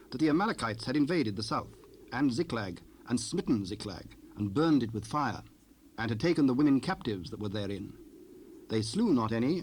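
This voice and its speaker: A man narrating scripture from a script in a measured, solemn manner. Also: mid-range voice. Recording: faintly noisy 1970s cassette rip.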